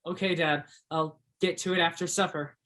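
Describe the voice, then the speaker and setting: A man's voice: fairly high pitch, fairly even intonation, normal speed, fairly bright tone, close to an everyday voice. A man playing a reluctant, dreamy teenage boy in a stage play, earnest and grumbling.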